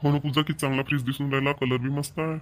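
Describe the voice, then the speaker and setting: A man's voice: medium pitch, flat delivery, very fast, close to an everyday voice. A man voicing a young husband eager to please, with an agreeable, admiring manner.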